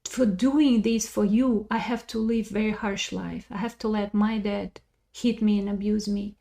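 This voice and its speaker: A sorrowful mother acted by a woman in a guided visualization tale, giving herself up for her child. Her voice is pained and gravelly, staying within a narrow range. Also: mid-range voice, steady tone, measured pace, warm tone. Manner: pained, pleading, yielding.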